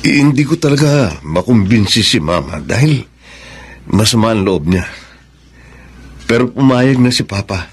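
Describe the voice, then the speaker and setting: An actor playing a sincere, conciliatory young groom-to-be in a Filipino radio drama, polite and reasoning. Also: male voice, on the low side, expressive, normal speed, pauses often, breathy edge. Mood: calm.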